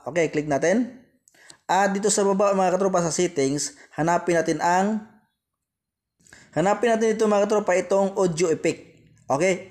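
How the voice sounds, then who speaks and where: A Filipino man with a fairly high voice narrates an app tutorial. He talks straight to viewers as friends and repeats each step as he goes. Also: a little mellow. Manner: repetitive, addressing viewers directly as friends. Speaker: tutorial host.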